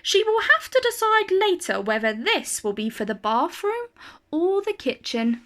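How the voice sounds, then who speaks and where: A woman reading a children's picture book aloud in an expressive, carefully phrased storytelling style. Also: extremely high pitch, measured pace.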